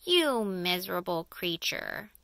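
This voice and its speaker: A cruel, selfish aunt from a children's storybook, acted out by a woman reader. She scolds with open scorn in a sharp, bright voice. Her words come slowly and spitefully, in a dry, close recording. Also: fairly low pitch, big pitch swings, dry recording, exaggerated voice. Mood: contemptuous.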